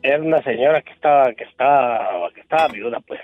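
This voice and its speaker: A man calling into a radio show, answering in short halting phrases. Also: mid-range voice, no room sound, faint music behind. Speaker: caller. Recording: tinny dry phone line.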